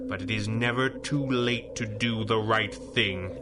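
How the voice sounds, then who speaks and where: A man's voice: fairly low pitch, steady tone, lightly acted. Man playing a solemn masked hero, noisy recording. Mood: urgent, pleading. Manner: earnest, solemn, deliberate. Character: gravely weakened, commanding masked superhero, urgent and noble. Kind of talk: 1930s-style radio drama acting.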